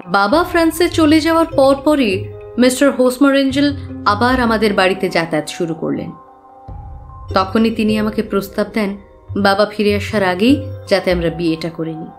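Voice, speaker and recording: A woman's voice: mid-range voice, moderate pace, soft background music, close to an everyday voice. An actress playing an earnest, trusting young woman client who carefully recounts her troubles in an audio drama. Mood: earnest, troubled.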